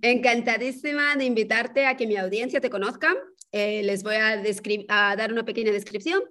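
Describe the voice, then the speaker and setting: A woman's voice: a little high, moderate pace, fairly bright tone. A woman hosting a podcast, introducing her guest with enthusiasm and switching between two languages. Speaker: podcast host. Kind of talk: interview hosting.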